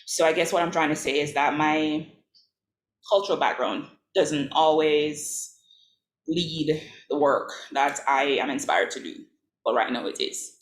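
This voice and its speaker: A woman's voice: low-pitched, unhurried. A woman from Jamaica, an artist speaking on a panel, talking casually with many fillers and frequent laughs. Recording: echoey room with faint noise.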